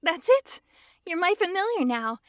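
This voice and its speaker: Woman voicing a high-pitched, possessive fox girl. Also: very animated, moderate pace, no room sound, clean studio sound. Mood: pleased, romantic. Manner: sweetly controlling, giggly, swings between gushing and hushed coaxing. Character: possessive, lovestruck young-sounding fox-girl mage, dominant yet giddy. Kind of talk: intimate ASMR roleplay addressed to listener.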